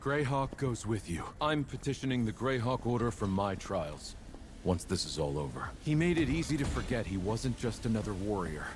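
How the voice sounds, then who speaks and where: A man's voice: fairly low pitch, sing-song, normal speed, noisy background. A man playing a loyal, earnest captain in a video game, speaking like a dutiful soldier. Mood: earnest, proud. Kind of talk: dramatic video game acting.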